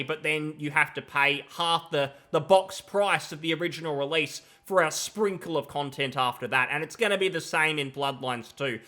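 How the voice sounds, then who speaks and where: A man's voice: a little high, sharp tone. A man in his thirties giving critical, sarcastic reaction commentary on a game, talking into a headset at home. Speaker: gaming commentator. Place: home room.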